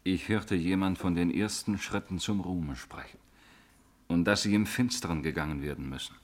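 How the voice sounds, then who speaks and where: An actor playing a young Roman officer, formal and restrained, respectful yet quietly probing, in 1950s radio drama. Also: male voice, deep voice, normal speed. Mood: reserved.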